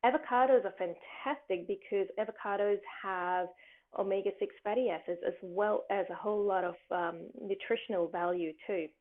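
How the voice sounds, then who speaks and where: A woman giving casual wellness advice, chatty and rambling with many fillers, her voice a little croaky from talking all day. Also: mid-range voice, normal speed. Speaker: wellness presenter. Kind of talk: casual health talk. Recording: phone-like narrow sound.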